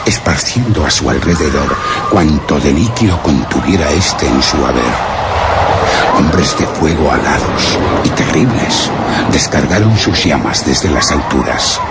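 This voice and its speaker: Grave horror storyteller, very low voice, animated swings, Spain accent, solemn and theatrical, radio play. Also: male voice, full character voice. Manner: solemn, theatrical, ornate delivery. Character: grave male narrator recounting his own terrifying expedition. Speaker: narrator. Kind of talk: dramatic radio horror narration.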